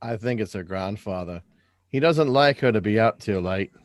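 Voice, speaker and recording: Man playing an earnest, animated schoolboy son. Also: fairly low pitch, moderate pace, slight background noise, close to an everyday voice. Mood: curious. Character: observant, insistent schoolboy, policeman's son. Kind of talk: live radio drama script reading.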